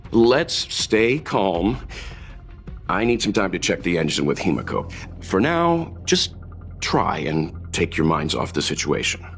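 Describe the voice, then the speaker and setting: An actor playing a composed senior crewman in a video game, grave and deliberate. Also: low-pitched, very animated, creaky, a bit bright, faint music behind, exaggerated voice. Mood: steadying. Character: steady, composed senior crewman, a mature man.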